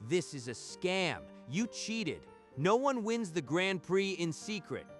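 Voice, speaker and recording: A man's voice: high voice, very animated, slow, heavily acted. A man playing an arrogant, scheming young villain cousin in a manga dub, indignant and accusing, with music behind him. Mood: outraged, accusing. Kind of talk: anime-style manga dub acting.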